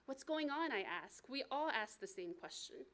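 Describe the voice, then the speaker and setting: A woman with an American accent and a fairly high voice reads her own memoir aloud at a live book event. Her delivery is expressive and deliberate, like an author telling a personal story to listeners. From United States.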